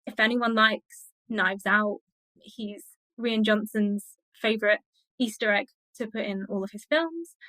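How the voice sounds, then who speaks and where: A woman's voice: medium pitch, measured pace, pushed and bright, dry recording, rough recording. A woman speaking as an expert interview guest, explaining in a conversational, scholarly and enthusiastic way.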